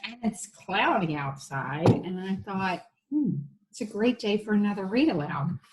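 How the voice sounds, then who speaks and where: A woman's voice: mid-range voice, animated, measured pace, background noise. A woman reading a children's storybook aloud like an elementary teacher, with casual asides to the listeners.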